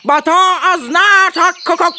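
A woman's voice: extremely high pitch, takes it slowly, noisy background. A woman voicing a bossy, worried talking hen with clucking tics in a children's radio play. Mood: scolding, worried. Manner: scolding, emphatic, stammering clucks. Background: birdsong.

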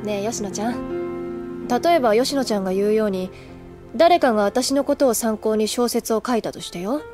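A woman playing a cool, gentle older schoolgirl in an anime audio drama, guarded and wistful, speaking in an unhurried, understated way. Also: a little high, soft background music, close to an everyday voice. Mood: wry, calm.

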